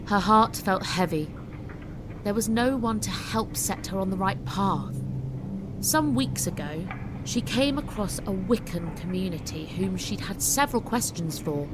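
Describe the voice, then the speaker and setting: A woman's voice: mid-range voice, noisy background. A woman giving scripted, dramatic story narration as an audiobook narrator. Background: music.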